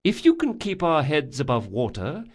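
A man voicing a composed woman envoy in an audiobook, coolly amused, formal and measured. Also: on the high side, huge pitch swings, darkish tone, pitched above the performer's own voice. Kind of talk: audiobook character acting.

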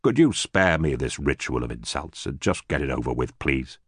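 A man's voice: very deep voice, very animated, normal speed, breathy edge, no room sound, clean studio sound, exaggerated voice. A man voicing a frightened, bitter former official in an audiobook, pleading and stammering nervously. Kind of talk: audiobook character voice.

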